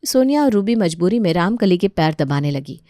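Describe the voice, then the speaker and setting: A woman's voice: fairly low pitch, very animated, brisk, dark tone, no echo, clean studio sound. A woman reading scripted narration of a comic family story as its narrator.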